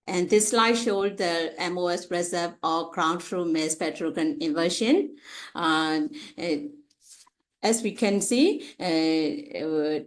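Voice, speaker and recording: Halting low-pitched woman. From Myanmar. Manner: halting, hesitant, with frequent pauses and repeated phrases. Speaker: researcher presenting at a conference. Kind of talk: academic slide presentation.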